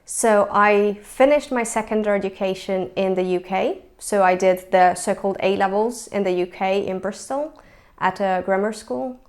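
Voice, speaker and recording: A young woman from Hungary talks about her studies in an easygoing, reflective way. She often pauses on "um" and restarts a phrase. Her voice sits at an ordinary middle pitch. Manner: thoughtful, with many ums and restarts. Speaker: former university student. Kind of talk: casual spoken account to camera.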